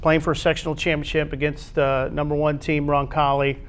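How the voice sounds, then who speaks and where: A man's voice: fairly high pitch, flat delivery, a little fast, smooth. An American man giving conversational sports commentary on a school athletics show, in short, emphatic phrases.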